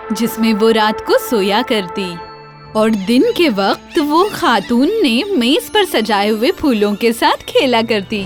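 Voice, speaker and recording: A woman narrates a children's fairy tale as a scripted storyteller. Her voice is high. Soft music runs underneath. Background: faint music.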